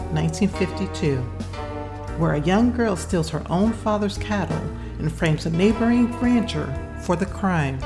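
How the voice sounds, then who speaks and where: A woman hosting a podcast, reading a scripted introduction. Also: fairly low pitch. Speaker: podcast host. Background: prominent music.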